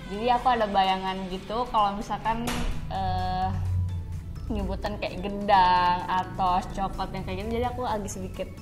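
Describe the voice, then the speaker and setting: A woman's voice: mid-range voice, steady tone, normal speed. Young Sundanese-accented woman, music behind. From Sunda, West Java, Indonesia. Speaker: co-host of a language vlog. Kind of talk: casual chat.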